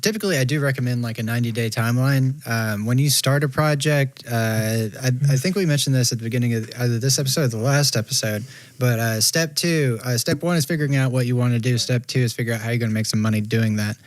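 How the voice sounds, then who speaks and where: A man on a panel giving casual business advice, halting and leaning on filler words. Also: medium pitch, no room sound. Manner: halting, lots of "you know" fillers. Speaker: panel guest.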